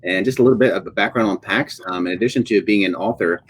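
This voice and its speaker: A man moderating an online author interview, speaking with halting, hesitant phrasing. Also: fairly low pitch, breathy edge. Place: live online video event.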